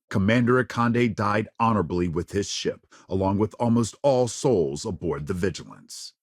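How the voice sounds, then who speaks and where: An actor playing a polished, dramatic news anchor in a video game, with measured, grave and emphatic broadcast phrasing. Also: male voice, on the low side, no echo, studio quality. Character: polished, dramatic male TV news anchor of a space-age network. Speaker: news reporter. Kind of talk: scripted broadcast news delivery.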